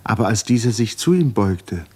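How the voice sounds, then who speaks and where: Deep, husky male narrator. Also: sing-song, normal speed, darkish tone. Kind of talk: scripted literary narration for radio drama.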